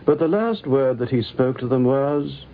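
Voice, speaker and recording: A man narrates in a formal, literary style with a measured delivery and a mid-range voice. The recording is thin and phone-like, like an old 1950s radio broadcast. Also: mid-range voice, animated, slight background noise.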